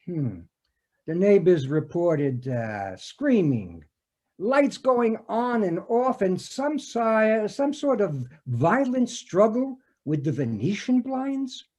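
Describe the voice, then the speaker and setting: A man's voice: high voice, sing-song, a little mellow, heavily acted. A man reading a hesitant, patient detective. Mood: probing. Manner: halting, full of "uh" fillers. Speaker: reader in an amateur readers theater performance. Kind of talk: comic readers theater scene read over a video call. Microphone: home video call mic.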